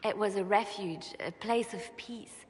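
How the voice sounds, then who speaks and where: A devout reformist churchwoman from a fantasy game , acted by a woman. She speaks with gentle, earnest conviction, like a measured orator with impassioned ideals. Her voice rings with long hall reverberation. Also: medium pitch, lightly acted. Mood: wistful, devout. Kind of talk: dramatic video game dialogue.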